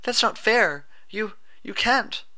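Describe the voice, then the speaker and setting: A man's voice: high voice, animated, takes it slowly, bright, forceful tone, pitched above the performer's own voice, full character voice. A man voicing a timid, anxious young female pegasus pony for an audiobook story. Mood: panicked. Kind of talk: audiobook character voice.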